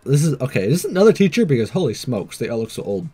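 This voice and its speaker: A man's voice: medium pitch, sing-song, fast talker, warm tone, slightly rough, faint music behind. A man hosting a let's play, reading a visual novel aloud with casual commentary.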